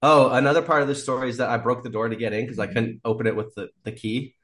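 A man's voice: medium pitch, steady tone, quick pace, a bit bright. A man telling a wild story as a podcast guest, rambling, laughing often and swearing freely. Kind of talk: casual storytelling.

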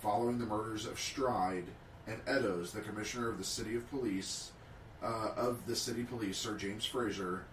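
A man's voice: on the low side, fairly even intonation, normal speed, fairly bright tone, far from the mic, slight background noise. A man co-hosting a casual podcast, reading prepared history notes aloud with small slips. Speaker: podcast co-host. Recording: roomy, off-mic sound.

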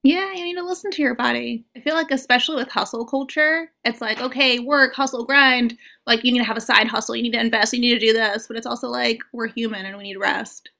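A woman's voice: a little high, fairly even intonation, quick pace, vocal fry, few pauses, bright, forceful tone. A young woman, a coach guesting on a podcast, chatting casually and informally with frequent filler "like". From born in Morocco, grew up in the US and Morocco. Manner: informal, peppered with "like". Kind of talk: casual chat.

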